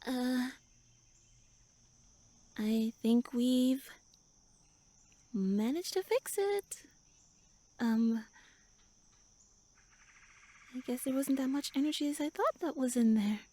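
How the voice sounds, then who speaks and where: A woman playing a pouty tsundere elf shrunk to pixie size in a roleplay audio, haughty, flustered and halting as she speaks to the listener. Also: high voice, animated, warm tone, dry recording, heavily acted. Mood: sheepish, haughty.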